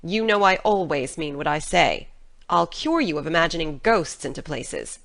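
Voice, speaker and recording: A woman's voice: deep voice, sing-song, creaky, fairly bright tone, exaggerated voice. Stern, no-nonsense older guardian played by a woman for audiobook drama; firm, scolding, with sharply swinging intonation. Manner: brisk, scolding, incredulous, firm. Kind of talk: dramatic audiobook character reading.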